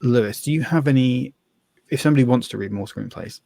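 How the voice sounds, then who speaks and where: A man co-hosting a podcast in casual chat, speaking tentatively and haltingly with a self-deprecating manner. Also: mid-range voice, gravelly, dark tone, no echo. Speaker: podcast host.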